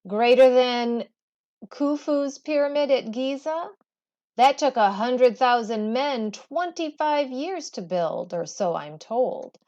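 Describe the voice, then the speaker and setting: A woman's voice: high-pitched, lively intonation, slow, a little mellow, highs cut off, voice pushed up, full character voice. A woman reading a storybook aloud, voicing a proud, imperious and playfully teasing Egyptian queen with regal emphasis.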